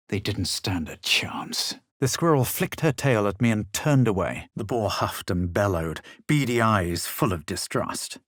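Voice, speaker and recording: An actor playing a haughty, self-assured young adventurer in a fantasy video game, speaking in a measured, literary manner. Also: male voice, fairly low pitch, dry recording, clean studio sound. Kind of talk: video game character narration and barks.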